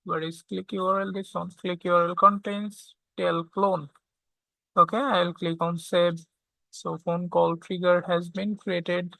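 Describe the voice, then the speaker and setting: A tutorial instructor walking through software step by step, often checking in with a short questioning tag. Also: mid-range voice, flat delivery, unhurried, vocal fry, dry recording, rough recording. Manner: checks understanding with frequent "okay?".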